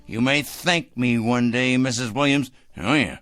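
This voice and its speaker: A man's voice: medium pitch, a little slow, fairly bright tone, no echo, studio quality, lightly acted. An actor in a radio drama playing a fussy, sanctimonious, secretive man obsessed with judging evil, speaking precisely and condescendingly. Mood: self-righteous, menacing. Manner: pompous, condescending, precise and theatrical.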